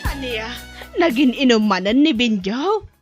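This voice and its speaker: A woman's voice: fairly high pitch, animated, unhurried. A woman acting a concerned, caring mother in an Ilocano radio drama. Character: concerned mother urging her daughter to come home. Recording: muffled recording with music behind. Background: music.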